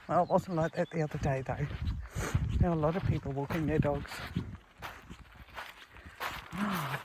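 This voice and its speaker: Slow-talking, high-pitched man vlogging outdoors. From New Zealand. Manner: chatty, musing aloud in short phrases. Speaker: travel vlogger. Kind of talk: casual walking vlog, chatting to camera. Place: outdoors at a beach. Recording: outdoor noise, faint clicks.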